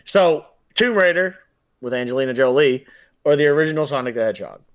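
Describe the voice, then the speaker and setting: Medium-pitched man co-hosting a casual podcast. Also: lively intonation, a little fast. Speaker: podcast co-host. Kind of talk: casual chat, running a movie bracket game.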